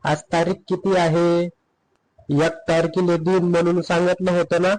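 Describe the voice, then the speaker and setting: Irritable cartoon husband, fairly high voice man acting. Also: completely flat delivery, normal speed, darkish tone, close to an everyday voice, rough recording. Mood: exasperated, indignant. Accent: Vidarbha Marathi. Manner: blunt, exasperated, sarcastic. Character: irritable, rude, grumbling husband in a comedy cartoon. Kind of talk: comedy cartoon dialogue.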